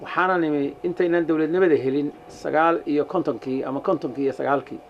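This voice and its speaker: Older man recalling his past, slightly high voice. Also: steady tone, fast talker. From Somalia. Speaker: interviewee. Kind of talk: personal account. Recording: dull lo-fi recording with faint background noise.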